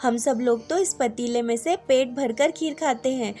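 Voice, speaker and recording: A woman's voice: sits high, unhurried, mellow, faint music behind. A woman storyteller voicing a contented, resourceful dweller of heaven, cheerfully explaining things.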